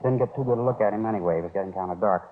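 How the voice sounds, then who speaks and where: An actor playing a talkative, harried bar owner giving colloquial, rambling witness statements in a 1950s radio crime drama. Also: male voice, lowish voice, rapid-fire, a little hiss or hum, lightly acted. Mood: agitated, recounting. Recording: tinny, narrow-band old radio recording.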